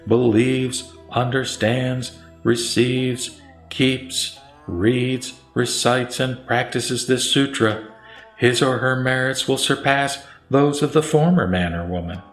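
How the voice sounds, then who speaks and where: A man reciting the Buddha's part in a scripted sutra audio drama, as a serene, authoritative teacher with solemn, deliberate phrasing. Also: medium pitch, natural voice. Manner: solemn, didactic, deliberate listing. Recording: roomy echo, music bed underneath.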